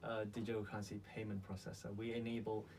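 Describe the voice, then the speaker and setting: A man from Malaysia speaking as a guest in a casual interview, earnest and halting in his delivery. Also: fairly low pitch, completely flat delivery, a little mellow. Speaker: interview guest, company founder. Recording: distant mic, faint background noise.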